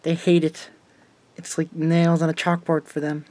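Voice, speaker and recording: A man's voice: a little high, completely flat delivery, normal speed, dark tone, dry recording, close to an everyday voice. A man playing a paranoid, intense teenage boy in an audio drama, with a cynical, conspiratorial manner.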